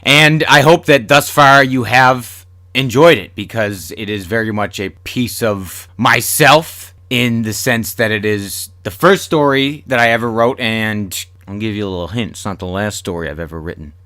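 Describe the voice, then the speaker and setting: A man's voice: lowish voice, moderate pace, bright, forceful tone, no room sound, studio quality. A man hosting a horror podcast, chatting casually to listeners in a rambling, repetitive, sweary way. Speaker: horror podcast host and writer. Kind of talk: casual solo podcast chat.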